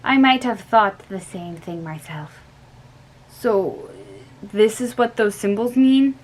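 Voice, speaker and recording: A woman's voice: mid-range voice, slow, a little hiss or hum, close to an everyday voice, poor audio. A young woman reading aloud and voicing an earnest, anxious boy in a slightly raised, eager voice.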